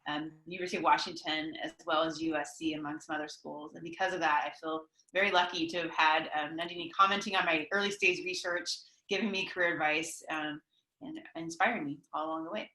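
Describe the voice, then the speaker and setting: A woman's voice: sits low, steady tone, quick pace, sharp tone. A woman moderating an online academic interview session, introducing a guest in a chatty way with frequent ums. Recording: echoey room.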